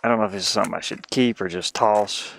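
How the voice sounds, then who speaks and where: A man's voice: lowish voice, steady tone, fast talker, vocal fry, fairly bright tone, slight background noise. A man live streaming with casual, rambling chat in a scattered, self-deprecating manner. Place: inside a small cluttered van.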